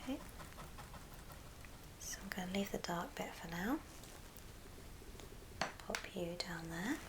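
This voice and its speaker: A woman whispers an unhurried, step-by-step craft tutorial in a breathy ASMR style, as the host demonstrating it. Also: medium pitch, animated. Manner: unhurried, murmured step-by-step narration. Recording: hissy background noise with small clicks.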